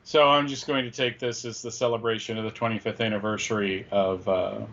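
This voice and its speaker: A man's voice: on the low side, little pitch movement, brisk, a bit bright. Casual male co-host on slightly dull audio. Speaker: show co-host. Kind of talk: casual opinion chat.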